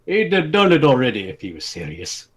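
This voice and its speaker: A man's voice: mid-range voice, huge pitch swings, fairly quick, fairly bright tone, husky, exaggerated voice. Man doing a smug, grumpy bearded brute, swooping. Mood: scornful.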